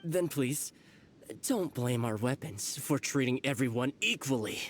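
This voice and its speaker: Jumpy salesy crook, man doing the voice, pitch jumps around, music under it. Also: expressive, measured pace, lightly acted. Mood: shifty. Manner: salesy, nervous, talks his way out. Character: nervous male treasure hoarder, smooth-talking crook. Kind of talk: video game voice acting. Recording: game soundtrack under the voice.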